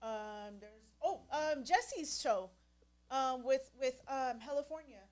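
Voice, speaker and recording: A woman's voice: high-pitched, animated, slow pace. A woman co-hosting a casual livestream chat, chatty and easygoing, with lots of fillers and easy laughter. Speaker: podcast co-host.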